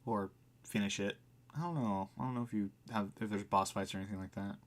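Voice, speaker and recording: Low-pitched man streaming a game casually. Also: steady tone, fast. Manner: informal, chatty, reacting aloud with slangy exclamations. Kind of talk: casual live let's play commentary, reading game text aloud.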